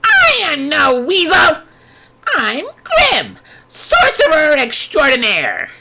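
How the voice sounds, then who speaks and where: A man voicing a small, boastful talking weasel-like creature from a storybook, snarling and shouting indignantly and theatrically. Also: extremely high pitch, wildly swooping pitch, slow, gravelly, heavy background noise, voice pushed up, exaggerated voice. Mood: outraged, boastful.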